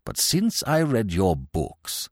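A man voicing a pompous, sentimental old English gentleman in an audiobook, speaking in a solemn, grandiloquent manner. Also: fairly low pitch, wildly swooping pitch, slow pace, breathy edge, no echo, studio quality, heavily acted. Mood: earnest. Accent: British.

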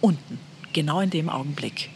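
A woman's voice: low-pitched, animated, fairly quick, creaky, a little mellow, a little hiss or hum. A woman telling a tale aloud as a dramatic storyteller.

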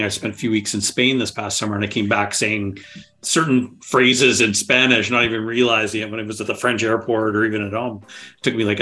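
A man hosting an interview podcast, relaxed and a little rambling as he asks questions. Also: on the low side. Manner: relaxed, rambling, laughs easily. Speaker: podcast host. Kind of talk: casual interview chat.

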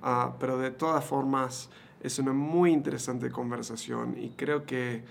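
A man in his thirties with an Argentine Spanish accent speaks as a podcast host. His voice sits at a middling pitch and has a noticeable fry in it. The delivery is conversational, made for interviews. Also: steady tone, unhurried, a little hiss or hum. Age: 30s.

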